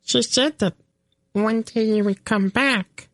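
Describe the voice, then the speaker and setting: A man voicing a small, furry young female fenling creature who answers calmly in a very high, piping voice. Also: takes it slowly, no echo, exaggerated voice. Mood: sad. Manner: very high piping, calm and plain.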